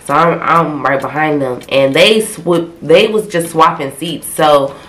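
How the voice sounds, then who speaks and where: A woman's voice: low voice, a little slow, a bit bright, echoey room. A young woman from Ohio with a stuffy, congested cold voice, chatting casually to camera while telling a story. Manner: loose, slangy, talkative, gestures a lot. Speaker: vlogger. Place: small bedroom in front of a pink wall. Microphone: camera a few feet away.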